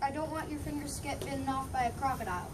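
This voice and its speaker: A woman playing a patient Egyptian father and priest teaching his children, reading a little haltingly in amateur readers theater. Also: high voice, fairly even intonation, close to an everyday voice. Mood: patient, instructive. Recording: distant mic, noisy room ambience.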